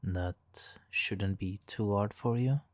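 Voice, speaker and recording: A man playing a suave, teasing, hypnotically controlling supervillain in a soft, intimate ASMR roleplay, condescending and purring, breathy in places. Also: lowish voice, no room sound. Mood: seductive, smug. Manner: condescending, purring, drawn-out pauses, soft chuckles.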